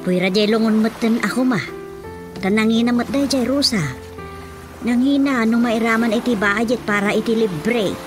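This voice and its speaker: An actress voices a gossipy Ilocano village mother in a radio drama, chatty and quick to laugh in a fairly high voice. Music plays clearly underneath. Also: close to an everyday voice.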